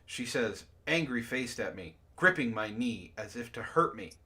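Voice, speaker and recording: A man's voice: mid-range voice, expressive, moderate pace, sharp tone. A man hosting a read-aloud, narrating casually and breaking off for sardonic, profane asides. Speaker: book club host reading a story aloud with commentary.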